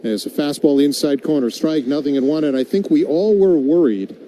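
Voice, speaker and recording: A man does live radio baseball play-by-play in a very dark, relaxed voice pitched a little high. He calls the game as commentator, with clear ballpark noise behind him. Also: brisk, low-quality recording.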